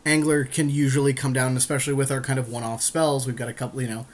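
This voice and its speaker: A man's voice: medium pitch, little pitch movement, fast talker. A man co-hosting a podcast, chatting casually and agreeably about card picks in a game draft. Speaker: podcast co-host.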